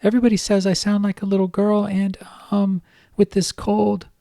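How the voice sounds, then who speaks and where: A man voicing a young man who fakes a teenage girl's voice, babyish and high with a hint of manliness, hesitant and full of filler words. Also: monotone, normal speed, vocal fry, warm tone, dry recording, studio quality. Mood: coy. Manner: hesitant, lots of um and like.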